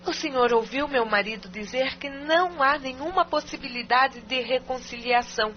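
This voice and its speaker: A weary, reserved wife played by a woman in an audio drama. She is formal and guarded, with a fairly high voice, recorded dully over a faint hum. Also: moderate pace, muffled recording, lightly acted. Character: reserved, weary married woman facing divorce.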